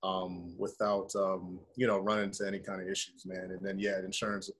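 A middle-aged American man with a husky voice, talking informally as an interview guest on a video call. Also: low voice, level tone, fairly quick. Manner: informal, conversational, peppers talk with "man" and "you know". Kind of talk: casual interview chat. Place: indoor room with bare wall, video call.

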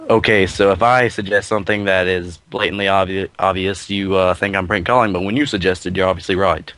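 A man making a prank phone call in a deadpan, earnest-sounding manner over an internet voice call. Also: deep voice, brisk, no echo. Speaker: prank caller.

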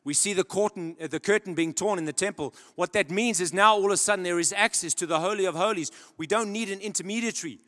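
A young man preaches from a big church stage, holding a handheld mic. His voice sits a little high, and he moves from loud emphatic peaks down to low, quiet lines. A long reverb from the hall trails after his words. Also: quick pace, hardly any pauses. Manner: dramatic, builds to loud emphatic peaks then drops low. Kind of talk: sermon.

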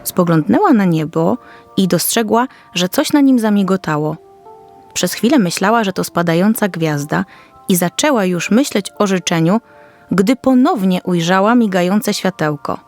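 A woman's voice: mid-range voice, animated, normal speed, a little mellow, soft background music. Woman narrating a children's fairy tale. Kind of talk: scripted children's story narration.

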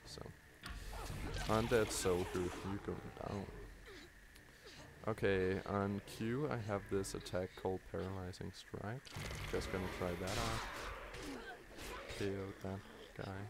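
Low, flat-voiced Danish man gaming. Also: vocal fry. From Denmark. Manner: tired, halting, many ums and restarts. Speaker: gamer commentating his play. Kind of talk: live let's play commentary. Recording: loud background noise over the voice.

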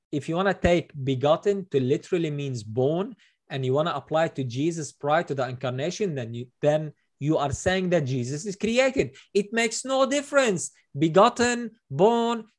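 Emphatic middle-aged man posing questions. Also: a little high. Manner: emphatic, building points with rhetorical questions. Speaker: host. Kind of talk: explaining scripture on a video call. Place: small room, indoors. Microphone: webcam on video call.

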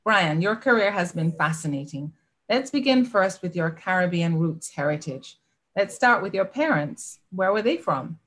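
A woman's voice: lowish voice, animated, moderate pace, a bit bright. Formal woman hosting a video call interview. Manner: formal, reads introduction. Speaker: host. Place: home room. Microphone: video call webcam.

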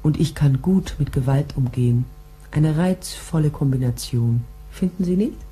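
An actress playing a bold, shrewd private detective who narrates her case in a radio crime drama, with a husky, probing delivery. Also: low voice, moderate pace, dark tone, soft background music. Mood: self-assured.

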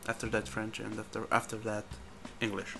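A man gives casual let's-play commentary, halting and thinking aloud as he plays. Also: fairly low pitch, completely flat delivery, vocal fry, over music. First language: Spanish. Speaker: gamer commentating his play.

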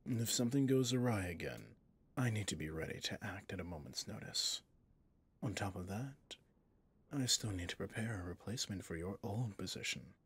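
A man playing a suave, possessive villain boss in an intimate ASMR roleplay, speaking in a courtly, seductive way with a breathy, husky voice. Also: lowish voice. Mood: composed, commanding. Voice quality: breathy, husky, often near a whisper.

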